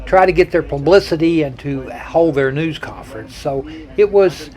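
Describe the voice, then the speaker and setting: A man gives a relaxed, reflective voice-over narration for a travel vlog. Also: a little high, normal speed. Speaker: travel vlogger.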